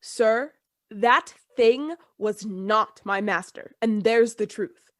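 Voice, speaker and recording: A woman's voice: a little high, slow, a bit bright, no room sound, studio quality. A woman playing a frightened, loyal and deferential old household servant in a Gothic radio play. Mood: frightened, insistent. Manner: deferential, agitated, emphatic.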